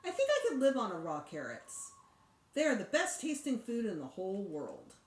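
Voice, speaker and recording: A woman's voice: mid-range voice, huge pitch swings, normal speed. A woman reading a storybook and voicing a spirited, inquisitive young girl with an eager, questioning manner. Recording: roomy echo.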